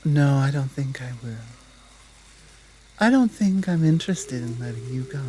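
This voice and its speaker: Woman playing a slow, dominant werewolf pack leader. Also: extremely low pitch, mellow, a little hiss or hum, heavily acted. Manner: deliberate, commanding, taunting. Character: dominant, menacing female werewolf pack leader guarding her home. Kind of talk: slow roleplay ASMR audio drama.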